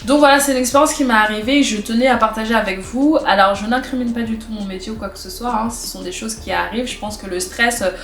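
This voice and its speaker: A woman's voice: a little high, fast, few pauses, fairly bright tone, faint music behind. A young woman with a cold, talking casually to camera in a chatty way and repeating phrases for emphasis. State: has a cold, stuffy. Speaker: vlogger. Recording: echoey room recording.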